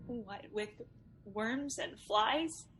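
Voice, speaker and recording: Woman acting a bright-voiced, anguished noble mother. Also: a little high, very slow, slight background noise, rough recording. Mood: sorrowful, teasing. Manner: theatrical, emotional, declamatory. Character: anguished young noble mother, bitter and frightened. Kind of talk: Shakespearean radio drama acting.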